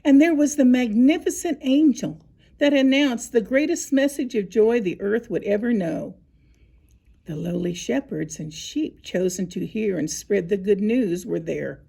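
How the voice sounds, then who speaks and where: Slow, husky woman reading a children's story. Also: mid-range voice, warm tone. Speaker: storybook reader. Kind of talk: scripted children's story narration.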